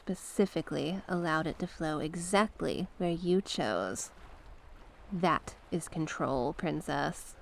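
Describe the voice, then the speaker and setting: A woman voicing a mysterious tentacled sea witch in an ASMR roleplay, a gentle, coaxing mentor who speaks in formal storybook phrases and sometimes slips toward a whisper. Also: on the low side. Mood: soothing, pleased. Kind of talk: ASMR roleplay, slow scripted monologue.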